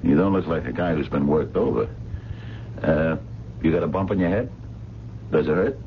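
An actor playing a gruff, sardonic working-class diner man in a radio drama, impatient and full of slangy grumbles. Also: a bass voice, normal speed. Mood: probing. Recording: dull, noisy vintage radio broadcast.

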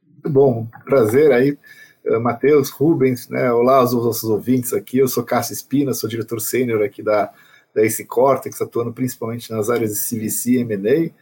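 A Brazilian man co-hosting a podcast interview. His voice is a little husky, he speaks in an upbeat way at a mid pitch, and he keeps adding small tag questions as he talks. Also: steady tone, normal speed, darkish tone. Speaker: co-host, senior director. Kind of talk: conversational interview.

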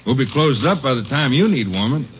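A man plays an affable bank guard who rattles easily, in an old-time radio drama. The pitch is average and the sound is dull, with light noise. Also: animated, brisk, lightly acted. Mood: genial. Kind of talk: 1950s radio drama acting. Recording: muffled, noisy old radio broadcast.

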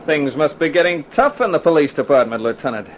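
An actor playing a tough, cool-headed private detective in a 1950s radio drama, terse and wry. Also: male voice, on the high side, very animated, fairly quick, a little hiss or hum. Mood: sardonic.

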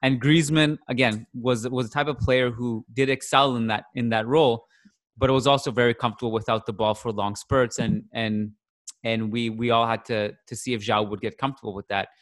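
A man's voice: medium pitch, fairly even intonation, brisk, a bit bright. A man co-hosting a football podcast, chatting casually and rambling with frequent restarts as he thinks aloud. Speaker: podcast co-host.

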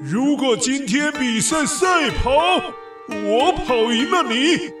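A man voicing an arrogant, boastful dragon in a children's audio story, with haughty mockery and drawn-out words. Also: lively intonation, exaggerated voice. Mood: boastful, threatening. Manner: haughty, mocking, drawn-out words, booming laughs. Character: arrogant male dragon challenger, boastful and taunting. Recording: echoey, distant voice with music behind.

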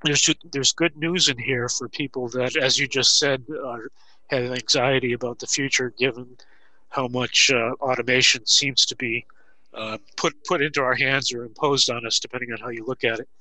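Guy hosting a podcast, super bright forceful voice, lots of uh's, dry close mic. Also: mid-range voice, steady tone, no echo, low-quality recording. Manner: friendly, halting, lots of uh fillers. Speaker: podcast host. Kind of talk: casual interview chat.

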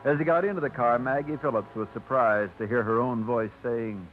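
A man narrating a radio drama in a measured storytelling manner. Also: mid-range voice. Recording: tinny old radio broadcast with faint music.

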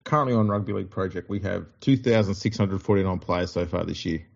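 Aussie guy hosting a footy podcast, deep mellow voice, kinda muffled mic. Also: quick pace, gravelly. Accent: Australian. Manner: joking, teasing, reels off stats. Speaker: podcast host. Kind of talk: casual sports podcast chat.

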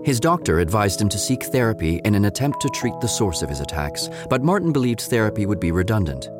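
An actor narrating an audio drama as a measured, wry, all-knowing storyteller. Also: male voice, lowish voice, very animated. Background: music.

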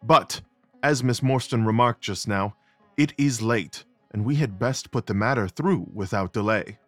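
A man playing a courteous, composed Victorian gentleman detective in an audio drama, speaking in a measured, formal way. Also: on the low side, no echo, soft background music, natural voice. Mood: measured, polite.

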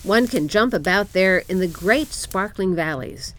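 A proud male reindeer of the snowfields, read by a woman storyteller. The delivery is stately and emphatic, unhurried, with plenty of melody. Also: mid-range voice, lively intonation, a crowd in the background, lightly acted.

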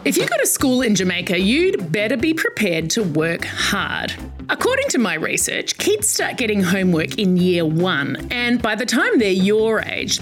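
A woman's voice: mid-range voice, fairly bright tone. A woman presenting a scripted educational podcast for children, speaking directly to young listeners with playful asides. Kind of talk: scripted educational narration for kids.

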